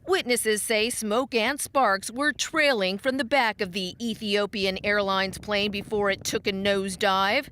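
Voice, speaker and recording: A woman's voice: mid-range voice, steady tone, unhurried, few pauses, bright, forceful tone, slight background noise. A woman narrating a scripted television news report as a reporter.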